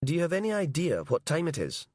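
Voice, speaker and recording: A man's voice: on the high side, huge pitch swings, fast, darkish tone, no room sound, studio quality, voice pushed up. A man reading a curt, businesslike woman answering the phone late at night. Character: brisk woman on a prison phone line.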